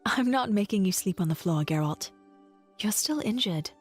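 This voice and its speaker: A woman's voice: mid-range voice, animated, normal speed, husky, faint music behind. A woman playing a feisty, snappy young bard in an audio drama, exasperated and indignant. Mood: stubborn.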